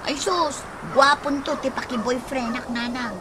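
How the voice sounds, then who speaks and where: A gossipy young daughter with an Ilocano accent, voiced by a woman for a radio comedy drama. She is envious and exclaims in a high voice over clear background noise. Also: fairly even intonation, measured pace.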